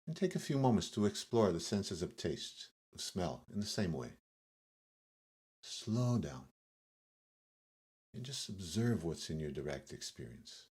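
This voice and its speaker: Deep-voiced man guiding a meditation. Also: big pitch swings, a little mellow, husky. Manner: measured, instructing, with short phrases and pauses. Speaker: meditation guide. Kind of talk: guided yoga nidra meditation.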